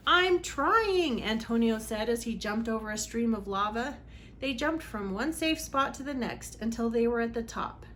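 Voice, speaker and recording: A woman's voice: on the high side, normal speed, slight background noise. A woman reading a storybook aloud for children in a dramatic, emphatic way. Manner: dramatic, emphatic on exciting moments. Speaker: read-aloud host for children.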